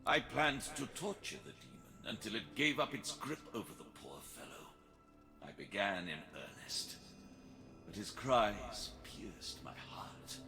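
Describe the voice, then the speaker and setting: An actor playing the anxious, trembling spirit of a priest in a fantasy video game, speaking in an agitated, pleading and halting way. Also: male voice, fairly low pitch, animated, gravelly, bright, forceful tone, faint music behind, heavily acted. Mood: remorseful, anguished.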